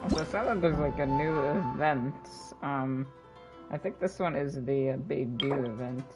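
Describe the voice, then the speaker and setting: A man's voice: medium pitch, normal speed, poor audio. Casual male game streamer over music. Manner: offhand, hesitant. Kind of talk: casual livestream commentary.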